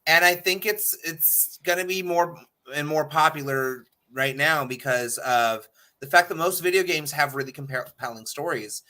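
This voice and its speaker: Bright, forceful American man chatting. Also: on the high side, moderate pace. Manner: emphatic, swears freely. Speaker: podcast panel guest. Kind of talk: casual opinionated panel chat.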